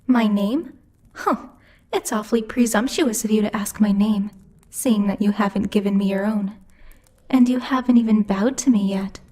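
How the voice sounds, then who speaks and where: A woman's voice: mid-range voice, normal speed, mellow, a little hiss or hum, lightly acted. A woman playing a bossy, haughty demon lord who teases and mocks imperiously in a theatrical ASMR roleplay. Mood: haughty, commanding. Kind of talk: ASMR roleplay, scripted character acting.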